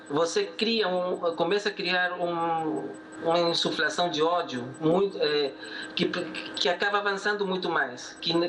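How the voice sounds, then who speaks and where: A man's voice: high-pitched, fairly bright tone, slight background noise, low-quality recording. A middle-aged man from Peru with a Peruvian Spanish accent, a guest economist speaking hesitantly on a discussion panel. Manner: hesitant, restarts phrases, thoughtful.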